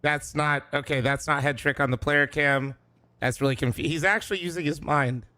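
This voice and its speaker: A man streaming a watch party, reacting loudly with yelling, swearing and laughter, his voice going hoarse. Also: fairly high pitch, fairly even intonation, normal speed, a little hiss or hum. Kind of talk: excited live reaction chat.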